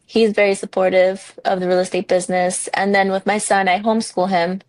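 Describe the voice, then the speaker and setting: A woman in her thirties from New Jersey, a real estate broker answering interview questions in a frank, relaxed way with many ums. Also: mid-range voice, no echo.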